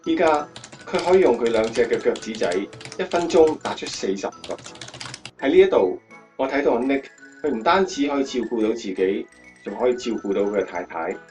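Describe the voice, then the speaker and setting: A male actor narrating a life story in a radio drama in expressive Cantonese, as an admiring storyteller. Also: mid-range voice, fairly quick, faint music behind, natural voice.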